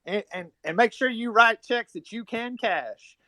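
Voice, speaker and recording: A man's voice: extremely high pitch, measured pace, sharp tone, no room sound. A man speaking casually as a guest on a call, chatty and a little self-deprecating. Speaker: guest caller. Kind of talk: casual chat.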